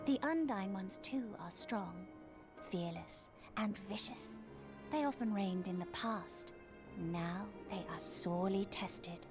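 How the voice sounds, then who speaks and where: Woman playing a gentle, solemn steppe tribeswoman. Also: medium pitch, over music, pitched above the performer's own voice, close to an everyday voice. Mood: solemn explaining. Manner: measured, solemn, storytelling explanation. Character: gentle, wise young tribeswoman guide of the steppe. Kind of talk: fantasy game character dialogue read aloud.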